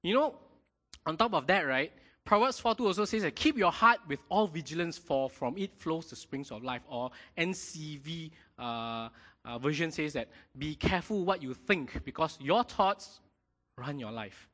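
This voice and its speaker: A man preaching a sermon in a lively way, often checking in with his congregation. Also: fairly high pitch, normal speed. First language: not Mandarin. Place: church hall. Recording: long hall reverb.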